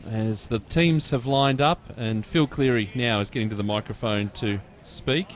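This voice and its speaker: Sports commentator, easygoing delivery. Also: male voice, medium pitch, normal speed. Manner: relaxed, conversational, with fillers. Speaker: football broadcast commentator.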